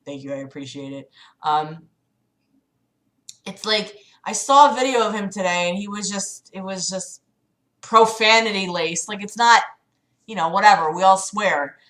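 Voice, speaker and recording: A woman's voice: medium pitch, moderate pace, fairly bright tone. A woman chatting casually as a livestream host, rambling hesitantly in a self-deprecating way. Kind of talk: casual chat with livestream audience.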